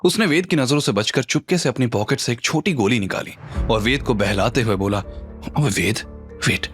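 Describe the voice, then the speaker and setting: A man's voice: mid-range voice, fairly quick. A man narrating a scripted audio drama story in a dramatic style. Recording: music under the voice.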